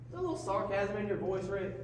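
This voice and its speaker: Jovial radio co-host puppet acted by a man, very high voice, heavily echoing hall. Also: lively intonation, quick pace. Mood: genial, teasing. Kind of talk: comedic radio talk show skit. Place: live performance in a large hall. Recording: very echoey hall, distant mic, faint noise.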